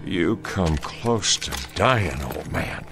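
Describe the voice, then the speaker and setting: An actor playing a stern, resolute Confederate cavalry soldier in a radio drama, with a folksy, commanding Southern US accent. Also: male voice, sits low, animated, slow pace, music in the background, full character voice. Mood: threatening.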